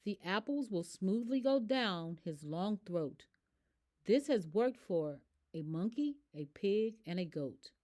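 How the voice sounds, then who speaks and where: A confident, somewhat alarmed storybook vet, a man acted out by a woman. The advice comes slowly and emphatically, in rhyme, with lively swings of pitch. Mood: advising. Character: alarmed male veterinarian giving brisk, confident advice. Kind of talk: rhyming children's picture book character.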